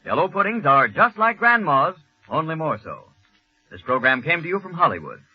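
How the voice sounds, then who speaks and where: A man's voice: on the high side, big pitch swings, moderate pace, husky. An American man reading a 1940s radio commercial, savoring and stretching key words. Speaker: radio announcer. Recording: muffled vintage 1940s radio broadcast.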